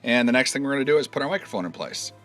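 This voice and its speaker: A man's voice: mid-range voice, animated, very fast, a bit bright, faint music behind. A man presenting a casual step-by-step instructional video, explaining things in a conversational, hands-on way. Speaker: host of an instructional product video.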